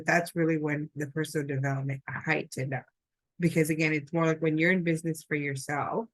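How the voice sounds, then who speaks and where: A woman's voice: low-pitched, no room sound. Upbeat woman guest, dry close sound. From Philippines. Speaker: podcast guest. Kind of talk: casual storytelling conversation.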